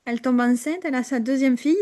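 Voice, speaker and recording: A woman co-hosting a casual podcast chat, hesitant, with many fillers and restarts and frequent quiet drops. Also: high voice, fast. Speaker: podcast co-host.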